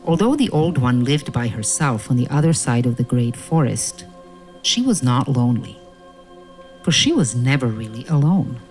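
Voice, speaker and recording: A woman narrating a fairy-tale audio play in a measured, solemn storytelling manner. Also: rumbling low, big pitch swings, darkish tone, poor audio. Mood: gentle storytelling. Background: music.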